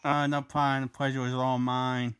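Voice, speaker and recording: A man hosting a casual chat over a push-to-talk app, relaxed and easygoing. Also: mid-range voice, normal speed, clear, resonant tone. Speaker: video host.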